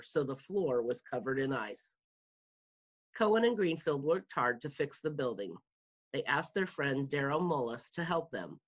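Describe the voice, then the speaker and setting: A woman's voice: low voice, husky. A woman reading a children's nonfiction book aloud in a careful manner, as a school media specialist would. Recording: dull, muffled lo-fi home recording.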